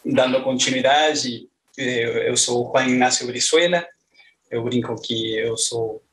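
A man in his thirties with an Argentine Spanish accent, presenting academic research over a video call from a small room at home, pausing often on "eh". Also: mid-range voice, fairly bright tone, slight background noise, poor audio. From northeast Argentina. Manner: hesitant, many "eh" fillers. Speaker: postdoctoral researcher. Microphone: headset on a video call.

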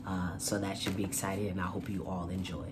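A man's voice: low-pitched, level tone, a little fast, heavy background noise. A man presenting and reading aloud as host of a children's storytime, with a courteous manner. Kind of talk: storytime read-aloud and channel chat.

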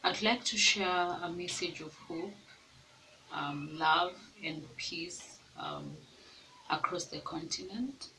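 A young woman from Kenya records a peace message in a room with bookshelves. She talks slowly and hesitantly, her voice low but bright. The laptop mic sits back from her, with faint room noise. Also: distant mic, low-quality recording. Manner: slow, hesitant with fillers.

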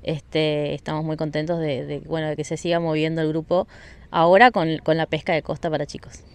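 A woman, her voice sitting on the low side, is interviewed outdoors on a riverside beach. She speaks friendly and unhurried into a handheld mic, with many fillers and restarts. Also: level tone, clean tone. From Argentina. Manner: friendly, with frequent fillers and repeated words. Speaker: event organizer. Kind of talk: street interview. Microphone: handheld mic held by interviewer.

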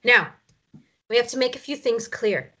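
A woman's voice: fairly high pitch, animated, normal speed, pushed and bright. An actress playing a stern woman captive who takes command like a military leader, giving firm, controlled orders in a drama read-through. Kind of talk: script read-through acting.

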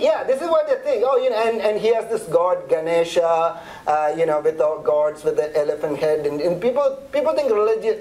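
Middle-aged Indian-accented man, fairly high voice, relaxed seminar lecturer who talks in a chatty way and restarts often. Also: rough recording. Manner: chatty, conversational, many restarts and fillers. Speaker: seminar presenter.

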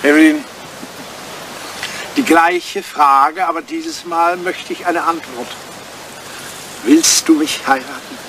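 An older man playing a doting, playful and impatient elderly English lord in a German radio play, halting between coaxing and bluster. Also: on the high side. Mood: tender. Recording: noisy vintage radio play recording.

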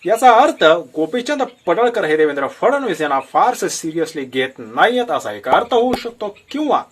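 A man with a Maharashtrian Marathi accent delivers political commentary straight to his viewers. His voice sits fairly high and swings widely in pitch. He explains things emphatically, like someone telling a story, throwing in rhetorical questions as he goes. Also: big pitch swings, normal speed, husky.

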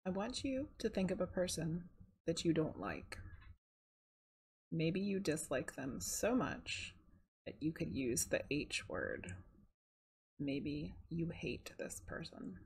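A woman guides a meditation in a soft, breathy voice that sits a little low. She speaks very slowly and calmly, leaving unhurried pauses between short phrases. The delivery is soothing throughout.

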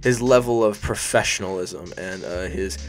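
A man's voice: deep voice, moderate pace, faint music behind. Sniffly young man rambling through a tutorial. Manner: rambling, self-deprecating, swears casually. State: sniffly from allergies. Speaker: YouTube design tutorial host. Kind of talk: casual voice-over tutorial. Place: hot bedroom.

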